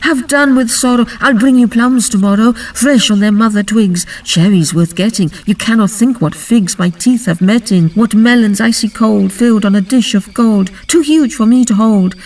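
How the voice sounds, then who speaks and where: A woman's voice: fairly high pitch, normal speed, hardly any pauses, darkish tone, far from the mic. A woman playing earnest young maidens in a dramatic, theatrical reading of a Victorian fairy-tale poem. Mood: eager. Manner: theatrical, emphatic recitation.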